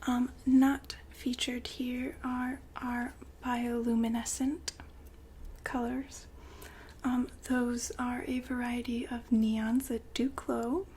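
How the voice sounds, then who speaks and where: A woman's voice: a little high, flat delivery, slow pace, darkish tone, a little hiss or hum. A woman playing a courteous, soothing saleswoman in a futuristic android shop, in a whispered ASMR roleplay with polite customer-service manners. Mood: calm, attentive.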